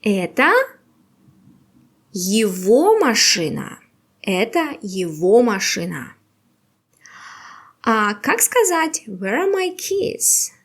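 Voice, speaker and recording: A woman teaching a beginner language quiz, with patient, slow and carefully exaggerated pronunciation. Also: medium pitch.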